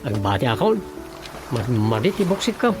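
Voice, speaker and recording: A man's voice: medium pitch, sing-song, normal speed, over music, natural voice. A man with an Ilocano accent playing a grumpy, stubborn councilman who grumbles and exclaims in a radio comedy drama. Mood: stubborn refusal. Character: grumpy, stubborn village councilman, comic lead.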